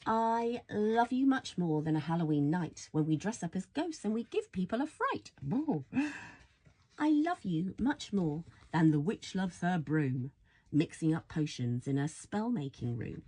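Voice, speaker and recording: A husky woman reading a lively bedtime story. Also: fairly low pitch, a little slow. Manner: affectionate, playful, exclaiming in wonder. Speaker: storybook reader. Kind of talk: expressive bedtime story read-aloud for a child.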